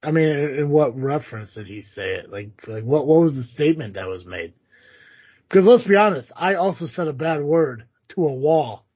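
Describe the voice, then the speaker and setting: Sarcastic, husky male co-host. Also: fairly high pitch, lively intonation, normal speed. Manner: sarcastic, profane. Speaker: podcast co-host. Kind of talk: casual chat. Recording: dull lo-fi podcast audio.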